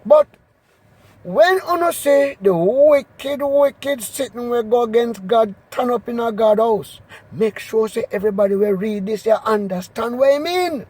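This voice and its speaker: A man with a Jamaican accent doing an expressive, emphatic scripture reading in Patois. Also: extremely high pitch, a little slow, breathy edge. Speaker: Bible reader.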